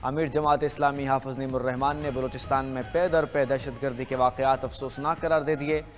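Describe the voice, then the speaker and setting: A man with a Pakistani accent reading scripted news headlines as an anchor. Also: medium pitch. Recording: long reverb with music underneath. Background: music bed.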